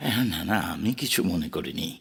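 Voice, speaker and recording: A man's voice: extremely low pitch, animated, normal speed, full character voice. A man acting a modest, courteous poet in a Bengali audio drama, speaking politely with a slightly hesitant, reflective manner. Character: modest, courteous established male poet, somewhat awkward. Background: clear background music.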